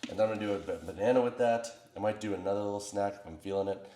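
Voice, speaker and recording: Male American vlogger, lowish congested nasal voice, loose slangy chat, dry close sound. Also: very fast, mellow, dry recording. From United States. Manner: informal, slangy, rambling. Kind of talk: casual vlog chat.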